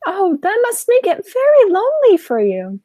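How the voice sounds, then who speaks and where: A woman's voice: extremely high pitch, huge pitch swings, moderate pace, mellow, no echo, clean studio sound, full character voice. A young woman playing a sweet, genteel elderly spinster aunt in a radio play, politely dithering. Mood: sweetly earnest.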